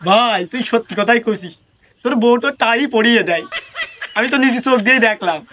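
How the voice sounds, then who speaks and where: A man's voice: extremely high pitch, quick pace, sharp tone, a little hiss or hum. A man playing a mocking village bachelor in a comedy skit, teasing as he talks. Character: teasing young bachelor villager spying on boastful husbands.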